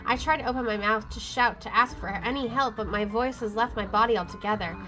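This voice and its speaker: A woman's voice: a little high, a little fast, pushed and bright, poor audio. A woman reading a horror visual novel aloud as she plays, in dramatic first-person narration. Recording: faint game music behind the voice. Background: faint game music.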